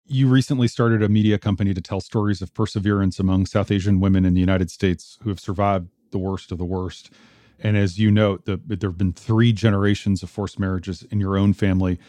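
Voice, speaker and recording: A man asking serious interview questions in a measured, sober way. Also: low voice, fairly even intonation, warm tone, dry recording.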